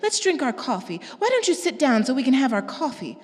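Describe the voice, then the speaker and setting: A proud, meddling older sister as a woman reader puts her on, her voice pitched high and rising when she presses her point. The room carries a long echo behind her. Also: a little fast. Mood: pleading. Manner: high, pleading, excitable voice. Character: proud, pushy older sister, well-meaning and tearful. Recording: long reverb.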